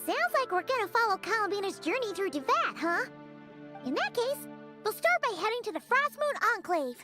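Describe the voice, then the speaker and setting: A woman voicing a tiny, perky, impatient childlike fairy companion in a video game, squeaky and expressive. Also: normal speed. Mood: curious, chirpy. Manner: refers to self in third person, expressive. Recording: music behind the voice. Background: background music.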